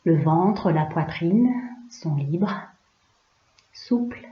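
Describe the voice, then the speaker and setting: A woman yoga teacher leading a guided relaxation in a hushed, soothing, unhurried way, leaving pauses between short phrases. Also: on the low side, animated. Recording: roomy, slightly dull recording.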